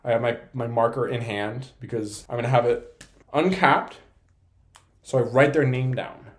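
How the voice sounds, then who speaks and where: A young man chatting casually to camera as a vlogger, playful and self-deprecating in an excitable way. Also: mid-range voice, moderate pace, roomy sound. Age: young adult. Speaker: vlogger and college program applicant.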